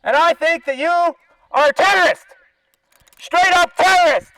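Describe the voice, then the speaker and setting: Canadian male activist, extremely high-pitched voice through a bullhorn, dry and echo-free outdoors. Confrontational, sarcastic, profane protest delivery. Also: unhurried, sharp tone. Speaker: animal-welfare activist. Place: outdoors by a zoo fence.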